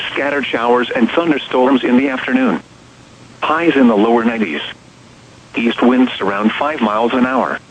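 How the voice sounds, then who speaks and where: A synthesized man's voice reads a scripted weather forecast as a radio announcer, in formal, clipped, separate phrases. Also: vocal fry. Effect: synthesized text-to-speech voice. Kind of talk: automated scripted marine and weather forecast reading. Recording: muffled, noisy radio broadcast, rumble.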